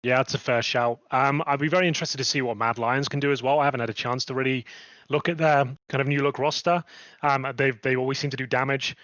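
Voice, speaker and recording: A man's voice: medium pitch, steady tone, quick pace, fairly bright tone, no room sound, muffled recording. A man, an esports player, answering interview questions casually with a rambling, filler-heavy manner.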